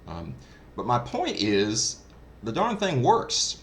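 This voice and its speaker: A man's voice: mid-range voice, wildly swooping pitch, slow pace, a bit bright. A man giving a casual first-hand review in a chatty, enthusiastic, anecdotal way. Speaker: hobbyist product reviewer. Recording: clearly noisy background ambience.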